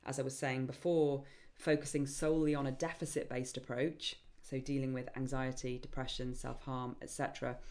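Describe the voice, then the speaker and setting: A woman hosting a live online Q&A session, chatty and enthusiastic, with many ums and a voice getting croaky. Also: a bass voice, level tone, moderate pace, hardly any pauses, darkish tone. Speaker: webinar host and program founder.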